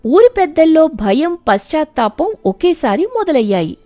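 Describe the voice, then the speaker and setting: A woman narrating a scripted moral story dramatically, with expressive, emphatic delivery. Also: high-pitched, normal speed, no room sound, soft background music.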